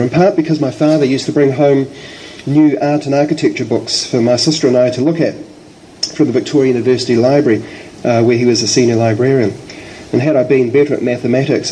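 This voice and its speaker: Male host with New Zealand accent, soft dark tone, mild head cold, reading a formal speaker introduction over background noise. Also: mid-range voice, poor audio. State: has a slight cold. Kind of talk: prepared formal introduction speech. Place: public library event.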